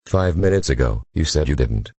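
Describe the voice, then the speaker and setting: Impatient, pompous district attorney played by a man, extremely low and somewhat husky, formal courtroom radio drama. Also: lively intonation, moderate pace, a little mellow, no room sound, full character voice. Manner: formal, argumentative, sarcastic. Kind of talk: radio play courtroom acting.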